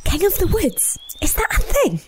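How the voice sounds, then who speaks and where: A little nervous bunny, high and squeaky, stumbling over her words as she asks for help, played by a woman reading a storybook. Her voice is pitched well above the narrator's. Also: wildly swooping pitch, normal speed, a little hiss or hum, heavily acted. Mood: wondering. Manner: timid, hesitant, pleading. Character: small nervous female rabbit.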